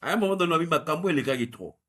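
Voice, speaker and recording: A man, explaining history in a measured, analytical interview answer. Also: on the high side, expressive, a bit bright. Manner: measured and explanatory, mixing French with Lingala. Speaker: guest researcher.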